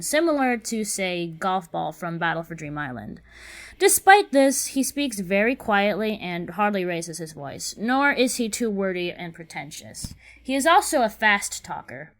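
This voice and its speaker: A woman narrates a scripted casting call at an average pitch for a female voice. Her delivery is measured and methodical as she goes through each description. Her intonation moves up and down a lot, keeping the reading lively.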